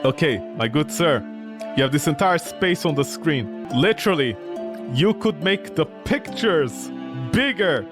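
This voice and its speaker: A man doing casual, animated commentary on a game, reacting with mocking disbelief and reading bits aloud. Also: on the high side, a little slow, over music. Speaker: gaming video host.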